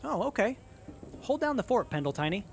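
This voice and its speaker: A man's voice: sits high, big pitch swings, fairly quick, gravelly, a little hiss or hum, full character voice. A man playing a nervous, lovestruck young tinkerer in an audio drama, earnest and often stammering. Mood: shy, friendly. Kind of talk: post-apocalyptic audio drama acting.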